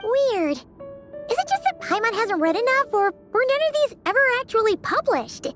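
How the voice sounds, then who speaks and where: A tiny fairy sidekick from an anime video game with an extremely high, childlike voice. She is chirpy and excitable, swinging widely in pitch as she exclaims, with music behind her. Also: normal speed. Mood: curious. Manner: exclamatory, refers to self in third person. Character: small chirpy childlike fairy companion girl, excitable and food-loving.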